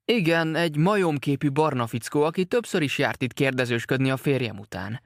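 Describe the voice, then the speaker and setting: A worried boatman's wife who chatters on without pause, as a male reader puts her on. She is pitched clearly higher and lighter than his own reading voice, fretful and rambling, and the dry, close sound has no room around it. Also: expressive, dry recording. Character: worried talkative boatman's wife, middle-aged woman.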